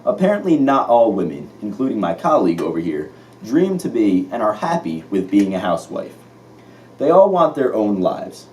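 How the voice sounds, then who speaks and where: Deeper, swooping man playing 1950s news anchor. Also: sing-song, moderate pace, a little mellow. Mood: earnest. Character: earnest male 1950s newscast anchor. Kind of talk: amateur scripted mock newscast. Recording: distant mic, faint noise.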